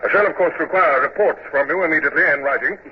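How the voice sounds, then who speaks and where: Male actor as a restrained naval commodore; measured formal delivery, muffled vintage radio sound. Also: on the high side, lightly acted. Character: reserved naval commodore narrating his own tale. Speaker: narrator and lead character. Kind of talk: 1940s radio drama acting. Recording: muffled old radio recording with hiss.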